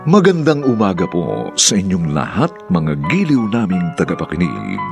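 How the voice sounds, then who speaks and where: A man's voice: low voice, huge pitch swings, moderate pace, vocal fry. A man presenting a radio drama with formal, dramatic scripted announcements. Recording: music bed under the voice.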